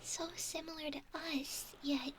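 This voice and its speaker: A curious, careful young mermaid acted by a woman murmurs in a very high, breathy, girlish voice. Her whispered ASMR delivery is slow and halting, full of wonder, over faint background noise. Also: steady tone. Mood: adoring. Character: sweet, curious, cautious young female mermaid.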